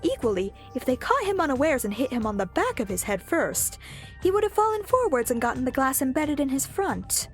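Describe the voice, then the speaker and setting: A woman's voice: sits high, sing-song, fairly quick, faint music behind. A woman playing an analytical, questioning young woman in an audio drama, pondering aloud methodically.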